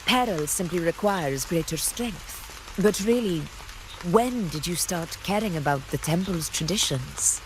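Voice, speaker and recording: A woman playing a proud, unflappable warrior ruler in a video game, with measured, grand phrasing and a teasing streak. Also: lowish voice, lively intonation, a little hiss or hum, rough recording. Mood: proud, resolute.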